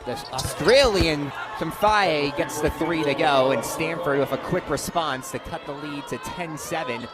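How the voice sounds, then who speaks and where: A young man calling live basketball play-by-play on radio with energy, raising his voice for big plays. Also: on the high side. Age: young adult. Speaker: play-by-play sports commentator. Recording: loud crowd and gym noise behind.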